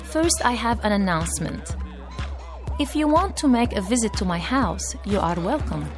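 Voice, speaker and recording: Young woman from iraq, earnest reading, clear music behind. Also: mid-range voice, expressive. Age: young adult. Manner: earnest, measured, non-native phrasing. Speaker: young blogger reading her posts. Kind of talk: reading personal blog entries aloud. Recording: with background music.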